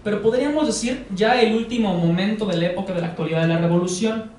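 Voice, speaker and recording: A young man with a Mexican Spanish accent giving an academic lecture in a measured, explanatory way. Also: high voice, darkish tone, a little hiss or hum. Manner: measured, explanatory, frequent hedging fillers. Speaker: course lecturer. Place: living room with sofas, indoors. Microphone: far camera. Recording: echoey room from far off.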